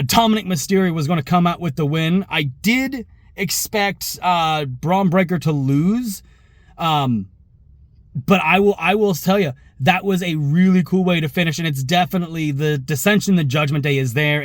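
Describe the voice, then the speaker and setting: A slightly hoarse man hosting a reaction vlog, talking casually to viewers in a rambling, conversational way with asides and self-corrections. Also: fairly high pitch, normal speed, no echo, clean studio sound. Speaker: wrestling reaction show host. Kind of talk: casual opinion commentary to viewers.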